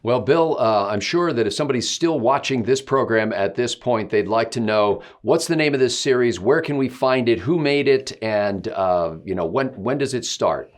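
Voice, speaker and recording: A man hosts in a mid-range voice. His delivery is measured and wordy, conversational with the feel of a promotional plug. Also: medium pitch, animated. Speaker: show host.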